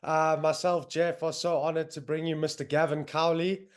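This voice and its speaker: A man's voice: a little high, little pitch movement, normal speed, clear, resonant tone. A young man with a South African accent, hosting a podcast in loose, enthusiastic casual chat with lots of fillers. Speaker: podcast host. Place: home in northern England, on a video call.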